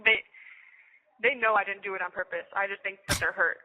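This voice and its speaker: Fast-talking young woman on muffled phone. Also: mid-range voice, fairly even intonation. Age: young adult. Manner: frequent "like", slangy. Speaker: jail inmate on a phone call. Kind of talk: casual chat. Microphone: telephone. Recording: noisy, dull jail phone line.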